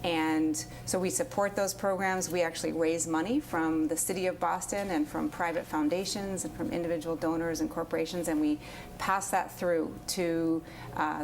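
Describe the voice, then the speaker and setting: A woman, an executive director, explaining her organization's work as an interview guest in a steady, informative way with frequent fillers. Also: deep voice, a little hiss or hum.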